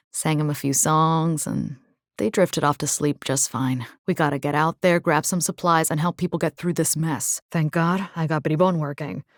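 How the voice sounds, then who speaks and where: An actress voicing a tough, caring young military rescue engineer in a video game, salty, wry and resolute. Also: female voice, deep voice, dry recording, clean studio sound. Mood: reflective. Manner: salty, plain-spoken, wry. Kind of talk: scripted video game character voice lines.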